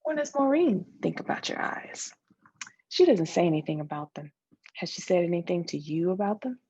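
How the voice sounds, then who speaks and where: A woman giving an expressive read-aloud of a novel's dialogue, acting out both sides of a conversation. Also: fairly low pitch, moderate pace, breathy edge, muffled recording. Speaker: guest reader of a novel.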